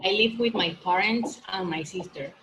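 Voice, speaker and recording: A woman's voice: medium pitch, moderate pace, noisy background. A nineteen-year-old woman from Colombia with a Spanish accent, answering hesitantly in a speaking exam. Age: teens. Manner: hesitant learner English. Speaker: exam candidate.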